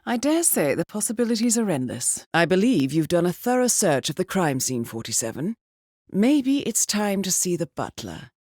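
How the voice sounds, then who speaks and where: An actress playing a composed, articulate intelligence handler in a video game, briefing an assassin in a measured, precise, formal way. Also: female voice, lowish voice, very animated, a little mellow, dry recording, studio quality. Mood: composed, alert. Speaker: mission handler.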